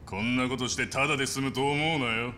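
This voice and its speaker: An actor playing a menacing, angry yakuza guard in a dramatic game scene, rough and threatening. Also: male voice, medium pitch, steady tone, quick pace, bright, forceful tone, a little hiss or hum, close to an everyday voice. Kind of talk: dramatic video game acting in Japanese.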